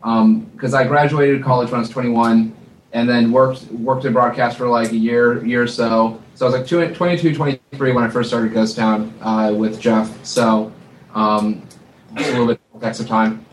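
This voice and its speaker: A man's voice: medium pitch, steady tone, normal speed. A man in his twenties, a studio artist, chatting casually with lots of fillers and self-deprecating humor. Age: 20s. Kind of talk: casual panel chat. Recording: roomy, distant mic with faint noise.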